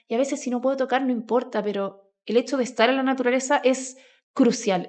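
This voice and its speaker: A Chilean woman talking conversationally as a podcast guest, telling personal stories in a colloquial way full of asides. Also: on the high side. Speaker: podcast guest, grounding advocate.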